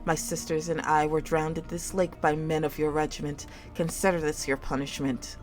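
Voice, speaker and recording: A woman's voice: low-pitched, flat delivery, moderate pace, a little mellow, lightly acted. Vengeful drowned water spirit for a game tale, played by a woman: female, malicious, screeching, music underneath. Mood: vengeful malice. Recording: music behind the voice.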